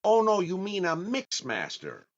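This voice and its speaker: A man's voice: sits high, huge pitch swings, unhurried, muffled recording, exaggerated voice. An actor playing a genial, easily puzzled man out shopping in a radio comedy, hesitating and repeating his words. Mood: puzzled, helpful. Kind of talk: old-time radio comedy acting.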